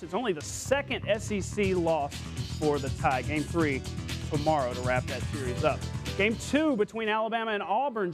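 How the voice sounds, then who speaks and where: A man working as a TV sports anchor, narrating scripted highlights in an energetic, playful way. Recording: music bed behind the voice.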